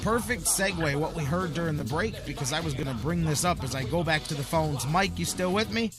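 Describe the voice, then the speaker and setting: A man's voice: a little high, animated, fairly quick, music in the background. A man hosting a live sports talk radio show in a conversational, opinionated way.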